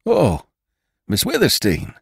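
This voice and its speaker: A man voicing a loyal young ranch rider who protests in an excited way. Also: medium pitch, slow pace, gravelly, husky, dry recording, studio quality, pitched above the performer's own voice, heavily acted.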